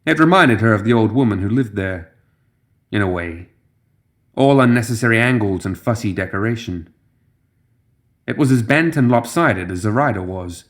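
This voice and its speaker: A man's voice: fairly low pitch, expressive, normal speed, pauses often. A man reading scripted fantasy fiction as a dramatic audiobook narrator.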